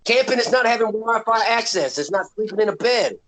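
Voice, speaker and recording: A man's voice: high voice, animated, fairly quick, a crowd in the background. A man in casual podcast banter, grumbling and swearing as he disagrees. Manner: grumbling, profane, contrary. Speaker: podcast co-host.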